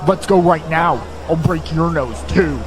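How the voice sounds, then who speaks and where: A man's voice: fairly high pitch, unhurried, close to an everyday voice. An actor playing a hot-headed, aggressive male fighter in an audio drama. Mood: angry. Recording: noisy outdoor crowd ambience.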